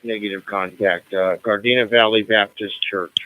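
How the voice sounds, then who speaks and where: A man's voice: on the low side, steady tone, normal speed, narrow, tinny audio. An American man acting as net control, running a radio roll call in a formal, procedural manner.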